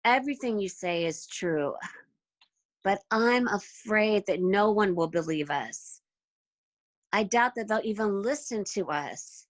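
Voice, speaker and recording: A woman's voice: medium pitch, lively intonation, measured pace. A woman acting as an anxious, doubtful disciple in a biblical church drama, speaking hesitantly and earnestly.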